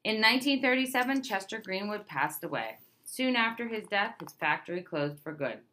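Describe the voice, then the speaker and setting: A woman's voice: medium pitch, big pitch swings, normal speed, pushed and bright. A woman reading a children's picture book aloud, expressively, stressing key words as a storyteller does for young listeners. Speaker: read-aloud presenter.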